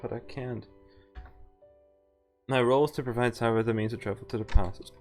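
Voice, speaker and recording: A man doing casual game-stream commentary, reading dialogue aloud and thinking out loud in informal, sweary language. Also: mid-range voice, fairly even intonation, a little fast, faint music behind.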